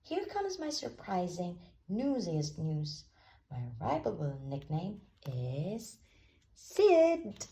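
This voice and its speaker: Lady doing eager boy pig poet for storytime, swoopy pitch, declaims everything slowly. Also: wildly swooping pitch, vocal fry, warm tone, exaggerated voice. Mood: proud reciting. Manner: declaiming, earnest storybook delivery. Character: earnest, rhyme-loving male pig poet, eager to please.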